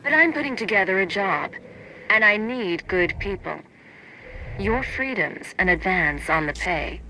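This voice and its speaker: Cool, commanding secret agent. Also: female voice, medium pitch, expressive, unhurried, bright, forceful tone, a little hiss or hum, poor audio. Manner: curt, controlled, authoritative. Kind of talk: video game character acting.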